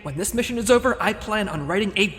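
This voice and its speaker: A sardonic, excitable secret agent in an audio comedy. His intonation leaps around briskly, with long reverb and faint music behind. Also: high-pitched. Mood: urgent, indignant. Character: wisecracking, indignant male secret agent. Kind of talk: comedic audio drama acting.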